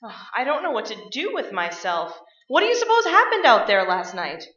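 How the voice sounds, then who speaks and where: A woman's voice: a little high, sing-song, brisk, a bit bright, muffled recording, faint music behind. Echoey, distant stage sound: woman acting anxious, fretful suspense heroine, restless delivery. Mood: worried, restless. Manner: fretful, agitated. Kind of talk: staged radio play acting.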